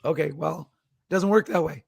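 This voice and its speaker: A man co-hosting a sports talk show who has lost his voice and is hoarse, rambling as he builds up his questions. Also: a little high, moderate pace, a little mellow, no echo, clean studio sound. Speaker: co-host.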